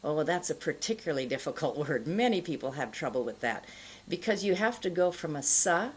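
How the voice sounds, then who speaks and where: A middle-aged woman giving an instructional pronunciation lesson, explaining in a deliberate, carefully enunciated way. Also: deep voice, big pitch swings, slightly rough. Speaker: pronunciation teacher. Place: indoor studio, plain backdrop.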